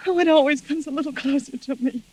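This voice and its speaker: An actress playing a frightened, suspicious young wife in a 1940s radio mystery, with halting, melodramatic delivery. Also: female voice, high-pitched, steady tone, darkish tone, dry recording, close to an everyday voice. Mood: shaken, distraught. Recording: vintage 1940s radio recording with sizzle.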